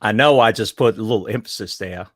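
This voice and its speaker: A man with a Southern US accent co-hosting a podcast, talking in an animated, teasing way, swearing freely and laughing loudly. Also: fairly low pitch, fast talker, no room sound, clean studio sound. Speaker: podcast co-host. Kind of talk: casual chat.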